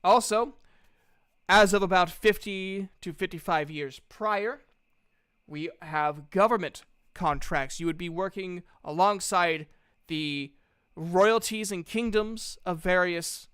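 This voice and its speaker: A man's voice: sits high, slow pace, a bit bright, smooth, no echo, studio quality. A man voicing an earnest, diplomatic woman representing a guild in a tabletop game, speaking formally and reasoning things through. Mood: measured, persuasive. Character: earnest, diplomatic female guild representative making a counter-offer.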